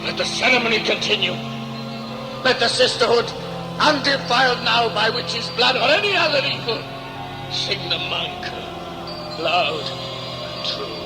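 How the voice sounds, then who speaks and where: An actor playing an old, cruel high priest and ruler in a sci-fi audio play, pompous, commanding and declamatory. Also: male voice, animated, a little slow, long pauses. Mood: grandly ceremonial. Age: older. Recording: echoey, loud music underneath.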